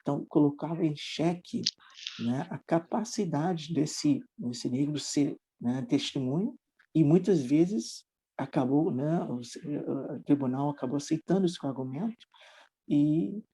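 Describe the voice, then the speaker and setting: A man's voice: fairly high pitch, animated, measured pace, warm tone, slightly rough. A middle-aged man, a guest English teacher thinking aloud in a reflective, hesitant way with many restarts. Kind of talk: conversational podcast interview.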